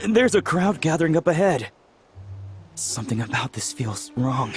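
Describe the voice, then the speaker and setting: An actor voicing an anxious, hesitant, soft-hearted young assistant in a video game. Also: male voice, a little high, sing-song, moderate pace. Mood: worried. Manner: hesitant, worried. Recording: music behind the voice. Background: game music.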